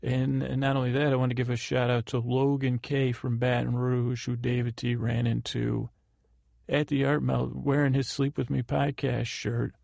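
A man hosting a sleep podcast, rambling through housekeeping in a halting way and often correcting himself. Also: mid-range voice, monotone, gravelly, a little mellow, no echo, studio quality. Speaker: podcast host.

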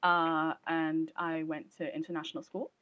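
A young woman from Hong Kong with a lowish voice and a Hong Kong English accent, answering a podcast's questions in a relaxed, self-deprecating way. Her voice comes through a dull, dry remote line. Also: normal speed, no room sound. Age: young adult. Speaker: guest, film student. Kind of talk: casual interview answers.

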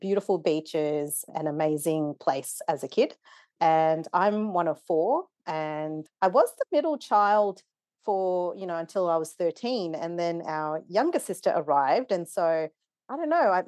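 Low, mellow-voiced woman podcast guest. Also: dry recording, studio quality. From Kenya. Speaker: guest, facilitator. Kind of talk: podcast interview.

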